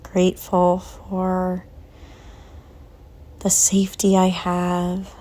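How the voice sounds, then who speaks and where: Very slow, soft woman guiding meditation. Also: lowish voice. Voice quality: soft, breathy, often near a whisper. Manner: calm, gentle, unhurried with long pauses. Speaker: guided meditation narrator. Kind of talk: slow guided visualization, soothing scripted narration.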